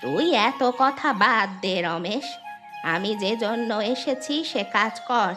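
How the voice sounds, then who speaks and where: A woman's voice: mid-range voice, animated, takes it slowly. A woman voicing a kind, plain-spoken old village grandmother in a fairy-tale cartoon. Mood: brisk, bossy. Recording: music behind the voice.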